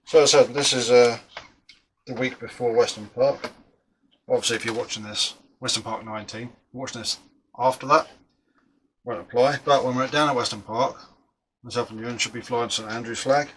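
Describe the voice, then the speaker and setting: A middle-aged man talks casually while he works on a model at his bench. His voice is bright and forward. He rambles and restarts his sentences, and there is a faint hiss of noise behind him. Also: medium pitch, steady tone, moderate pace, poor audio. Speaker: model aircraft builder and channel host.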